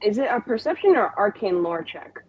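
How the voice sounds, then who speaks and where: A woman's voice: medium pitch, very animated, a little fast, slightly rough, no echo, muffled recording. A woman chatting casually as a player in a tabletop roleplaying game.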